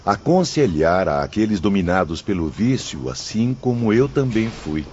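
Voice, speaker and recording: A man's voice: lowish voice, sing-song, unhurried, a little mellow. A man with a Brazilian accent playing a remorseful former gambler's tormented spirit in an audiobook drama, confessional and dramatic in formal diction. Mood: pleading. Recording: dull audio, faint ambient noise.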